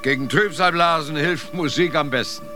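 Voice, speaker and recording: A man's voice: a little high, normal speed, pushed and bright, soft background music. An actor playing a resolute expedition leader in a radio drama, addressing his men in a declamatory, commanding way. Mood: resolute, rallying.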